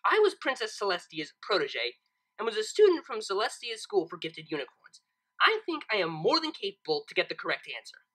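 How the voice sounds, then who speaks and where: A woman's voice: medium pitch, wildly swooping pitch, brisk, fairly bright tone, low-quality recording. A woman voicing a stern, proudly clever female cartoon unicorn who sounds impatient and emphatic, in a fan fiction reading. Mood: annoyed. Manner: emphatic, impatient, self-assured.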